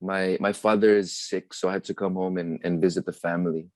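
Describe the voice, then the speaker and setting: Low-voiced young man on a video call. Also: fairly quick, dry recording. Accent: American. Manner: relaxed, mixes Tagalog phrases into English. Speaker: guest performer and entrepreneur. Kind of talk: casual interview over video call. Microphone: video call webcam.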